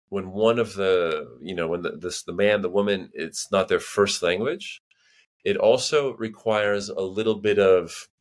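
An American man chatting casually as a podcast guest, rambling and filling his sentences with "you know" and restarts. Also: fairly low pitch. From United States. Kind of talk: casual conversation.

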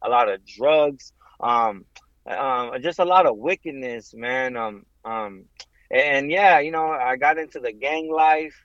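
A man in his thirties from Southern California, a podcast guest telling his faith story in a halting way, with many ums and restarts. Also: medium pitch, no echo, muffled recording. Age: 30s. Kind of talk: casual faith testimony.

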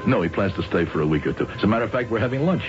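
Actor as a deep-voiced brooding veteran husband. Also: male voice, expressive, very fast, natural voice. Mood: insistent. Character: brooding war-veteran husband, earnest and haunted. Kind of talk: old-time radio drama acting. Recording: dull old radio recording, loud music.

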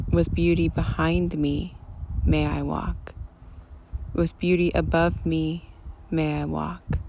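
A person leading a guided meditation in a gentle, soothing, unhurried manner, recorded live outdoors. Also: medium pitch. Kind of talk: slow guided meditation and poem reading. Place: outdoors among hills and wildflowers. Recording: outdoor live recording, loud wind and nature noise. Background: wind, birds, nature sounds.

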